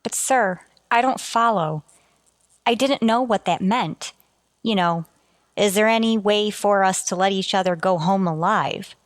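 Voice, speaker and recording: An actress playing an earnest communications officer on a starship in a sci-fi radio drama, deferential to a superior. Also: female voice, mid-range voice, measured pace, no echo, studio quality, close to an everyday voice. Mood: anxious, questioning. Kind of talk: golden age sci-fi radio drama acting.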